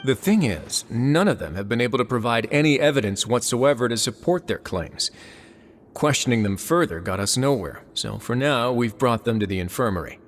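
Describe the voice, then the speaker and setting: A man playing a calm, measured warden. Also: mid-range voice, a little hiss or hum, close to an everyday voice. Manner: measured, composed, dry wit. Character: calm authoritative male warden. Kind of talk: video game voice acting.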